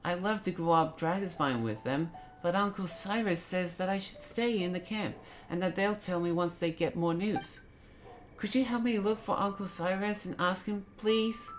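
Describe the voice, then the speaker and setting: A woman's voice: on the low side, moderate pace, soft background music, close to an everyday voice. A woman voicing a young boy in a video game, childlike, hopeful and pleading, anxious about family. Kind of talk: video game character acting.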